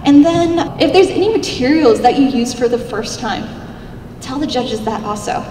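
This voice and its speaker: A young woman with a squeaky little voice giving an upbeat, chatty convention panel talk, speaking into a handheld mic in a large hall. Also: expressive, normal speed, mellow, a little hiss or hum. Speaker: cosplay contest judge giving a convention panel. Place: large convention hall, seated at a stage table. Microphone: handheld mic, filmed by a far camera. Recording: echoey hall, recorded from far off.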